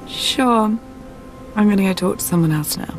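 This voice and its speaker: Actress as a coy, spoiled young socialite. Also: female voice, mid-range voice, normal speed, lightly acted. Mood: bratty, dismissive. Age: young adult. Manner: coy, teasing, dismissive. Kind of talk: video game voice acting. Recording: music under the voice.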